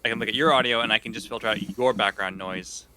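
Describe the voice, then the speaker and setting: A man chatting quickly as a tabletop game player who also edits the group's audio. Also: on the low side, steady tone, bright, forceful tone, rough recording. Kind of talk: casual chat.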